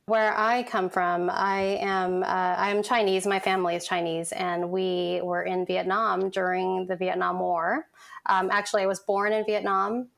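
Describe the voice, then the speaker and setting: A woman's voice: medium pitch, flat delivery, measured pace, creaky, a bit bright. A woman in her forties who grew up in San Francisco, guest on a podcast, telling her story in a reflective, chatty way with many "you know" fillers. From San Francisco, United States. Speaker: guest, content design manager. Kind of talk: casual podcast interview.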